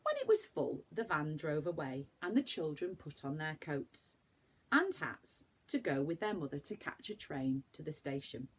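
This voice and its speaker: A woman with a fairly low, husky voice reads a children's storybook. Her pitch swings widely as she leans on words dramatically, in the manner of a primary teacher addressing a young class. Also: moderate pace. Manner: animated, dramatic emphasis for young listeners. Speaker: primary school teacher reading to pupils. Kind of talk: expressive children's storybook reading.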